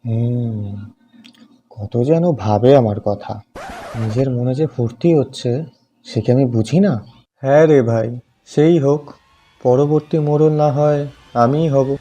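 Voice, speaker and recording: A man's voice: mid-range voice, a little slow, pauses often, dark tone, highs cut off, close to an everyday voice. A man acting as a village headman's grown son in a cartoon folk tale. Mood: scheming. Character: village headman's sons, from scheming eldest to honest youngest.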